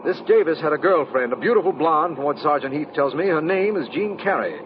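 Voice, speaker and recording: A genial district attorney played by a man with a slightly high male voice, measured and wry in a formal way. Old radio detective drama, dull and noisy in sound. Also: animated, natural voice. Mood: explanatory. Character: dryly genial male district attorney briefing his friend.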